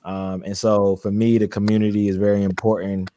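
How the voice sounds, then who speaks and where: Deep-voiced calm man in his thirties. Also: fairly quick, a little hiss or hum. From Brooklyn, New York, raised in Charlotte, North Carolina. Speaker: guest. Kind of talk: interview, personal background.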